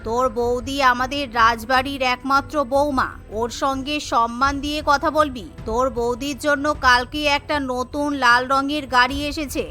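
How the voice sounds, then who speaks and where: A woman's voice: high-pitched, fairly even intonation, moderate pace, few pauses, sharp tone, soft background music, voice pushed down. Woman voicing a bossy cartoon mother-in-law, commanding. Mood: commanding, stern. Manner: commanding, scolding. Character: imperious middle-aged Bengali mother-in-law, bossy matriarch. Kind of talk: cartoon character dialogue.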